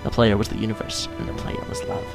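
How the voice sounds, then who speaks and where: A man reading aloud in a slow, solemn, dramatic style as narrator, with background music behind him. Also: lowish voice, level tone, vocal fry. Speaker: video host of a gaming channel. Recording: music under the voice.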